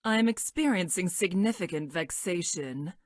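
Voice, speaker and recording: Woman acting as female time-traveling scientist, video game character, lowish pitch, clinical, stilted formal wording, deadpan, very dry studio sound. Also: moderate pace, dry recording, natural voice. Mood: formal, detached. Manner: stilted, formal, technical speech with deadpan delivery.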